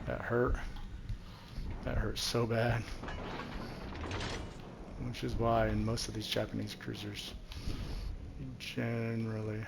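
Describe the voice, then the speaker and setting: Gravelly-voiced man, casual game commentary. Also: lowish voice, noisy background. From New Mexico. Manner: chatty, self-deprecating, rambling. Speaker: gaming channel host.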